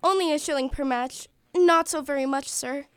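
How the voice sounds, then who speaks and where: High young girl's voice acted by a woman, poor match seller, polite, earnest, hopeful, radio drama, dry recording. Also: normal speed, no room sound, lightly acted. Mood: meek, polite. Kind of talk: stage-style radio play acting.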